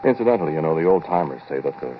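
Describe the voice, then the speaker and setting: An actor playing a knowing storyteller who confides deliberately and directly in the listener, in a 1940s radio drama. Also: male voice, very deep voice, expressive, music in the background. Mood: musing, ominous. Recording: dull, very noisy 1940s radio recording.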